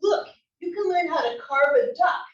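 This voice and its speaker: A woman's voice: high voice, expressive, moderate pace, lo-fi sound. A woman reading the part of a cheerful, adventurous older wife in a comedy play. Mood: upbeat, playful. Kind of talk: table read of a comedy play. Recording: roomy, distant library table-read recording.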